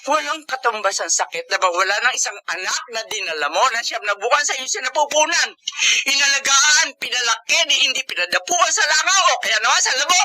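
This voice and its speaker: A woman's voice: high voice, lively intonation, fairly quick, few pauses, a crowd in the background. A woman playing a strict, anxious middle-aged mother with a Filipino accent in an emotional radio drama. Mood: defiant, grieving. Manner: scolding, emphatic, emotional.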